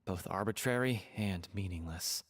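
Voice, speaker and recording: An actor voicing an earnest, grieving man from a theatre troupe in a game, speaking in a heartfelt, measured way. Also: low voice. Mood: somber. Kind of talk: game dialogue.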